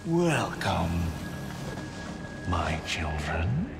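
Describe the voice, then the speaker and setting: A man's voice: sits low, big pitch swings, very slow, vocal fry, exaggerated voice. An actor voicing a grandiose, pious older male cult leader, preaching solemnly and commandingly as a video game villain. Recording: long cavernous reverb under loud music.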